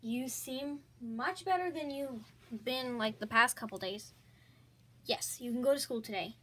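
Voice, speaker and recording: A woman's voice: on the high side, unhurried, husky. A woman voicing a patient mother who checks her daughter's temperature and grows suspicious of her faked illness, in a comedy skit. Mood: calm. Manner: calm, matter-of-fact, suspicious. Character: patient, doubtful mother of two girls.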